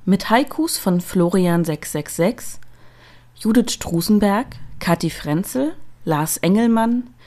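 A woman's voice: medium pitch, animated, slow, mellow. A woman reading poetry and announcements as scripted narration. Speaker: podcast announcer and poem reader. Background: music.

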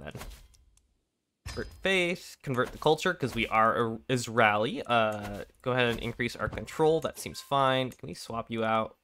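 A young man with a raspy voice from being sick, casually commenting on a strategy game, thinking aloud and clearing his throat often. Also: mid-range voice, pushed and bright, slight background noise. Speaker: gameplay commentator. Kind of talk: casual let's play commentary.

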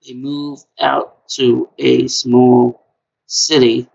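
A middle-aged man with a high-pitched voice hosting a live-stream trivia game from a small room at home, talking slowly and repeating himself in a chatty way. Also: flat delivery, gravelly, darkish tone, lo-fi sound, slight background noise. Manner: slow, repetitive, chatty.

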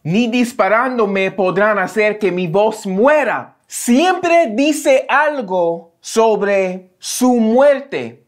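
An American man in his thirties, a native English speaker, talks slowly and deliberately as he reacts to a song. He is lively and repeats words for emphasis. He sits close to a webcam in a small home studio. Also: high-pitched. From United States. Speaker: reaction video host. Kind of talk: casual reaction commentary.

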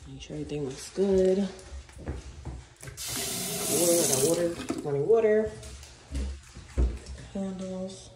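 A woman's voice: lowish voice, takes it slowly, long pauses. A woman chatting casually and informally to viewers and a companion as she films a house tour on a handheld phone. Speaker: vlogger giving a house tour. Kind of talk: casual vlog chat while walking. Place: empty house rooms, indoors. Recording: very echoey empty rooms, noisy phone audio.

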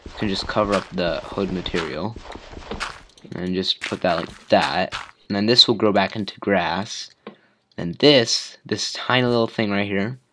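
Low, slow, hoarse young guy gaming. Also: a bit bright, slight background noise. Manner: rambling, lots of fillers. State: hoarse from a cold. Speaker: gamer. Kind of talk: casual gameplay commentary.

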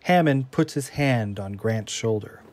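A man narrating the scene directions of an audio drama in an even, descriptive manner. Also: mid-range voice, a little slow. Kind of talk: scripted narration of stage directions.

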